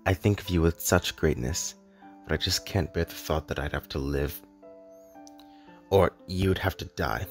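A man playing a grave, lovelorn immortal in an animated drama, speaking earnestly in measured, formal, poetic phrases. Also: low-pitched. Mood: tender, hesitant. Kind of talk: dramatic animated-film voice acting. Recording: echoey hall reverb, music underneath.

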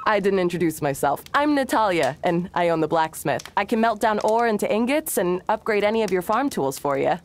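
A woman's voice: mid-range voice, lively intonation, brisk, few pauses, slight background noise, lightly acted. An actress playing a chatty, welcoming small-town blacksmith woman in a video game.

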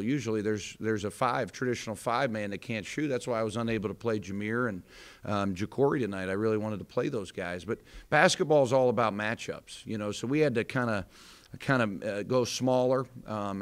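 A somewhat low-voiced American man in his thirties speaks at a postgame press table into a mic in front of him. He is a basketball coach talking candidly, circling back with fillers and restarts as he gathers his thoughts. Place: indoor press room in front of a sponsor backdrop. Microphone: table microphone in front.

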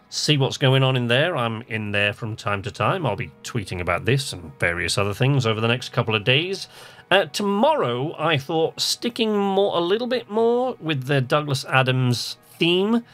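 A man's voice: mid-range voice, fairly bright tone. A man hosting a gaming channel, giving casual commentary on his playthrough.

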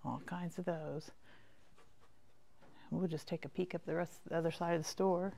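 A woman's voice: fairly low pitch, fairly even intonation, moderate pace, gravelly, dark tone. A woman giving a casual, chatty shop tour in short folksy remarks that trail off, recorded as she walks around indoors. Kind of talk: casual rambling tour commentary. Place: indoors, walking through a quilt shop.

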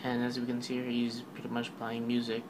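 A man's voice: mid-range voice, completely flat delivery, fairly quick, background noise. A man giving a casual, rambling voice-over recap of a product keynote, full of fillers and offhand remarks. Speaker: tech video narrator.

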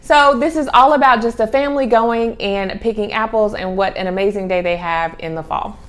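A woman's voice: medium pitch, fairly quick, fairly bright tone. A middle-aged woman chatting casually to camera as a homeschooling vlog host, stretching and repeating key words for emphasis. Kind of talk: casual chat to camera, presenting children's books. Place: small home room with sloped ceiling. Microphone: clip-on lapel mic.

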